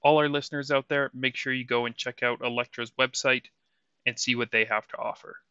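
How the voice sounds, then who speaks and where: An upbeat male podcast host with a bright, forceful tone. His voice sounds very dry and close, with a slightly dull, lo-fi quality. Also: medium pitch, steady tone, a little fast, smooth, dry recording. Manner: upbeat, enthusiastic host patter. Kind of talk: podcast interview and read ads.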